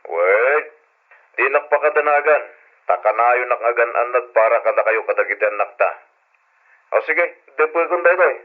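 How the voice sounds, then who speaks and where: A man's voice: mid-range voice, expressive, moderate pace, close to an everyday voice. A man playing an affectionate, respectful young father in a radio drama, with a mild manner. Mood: affectionate, easygoing. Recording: dull lo-fi radio recording.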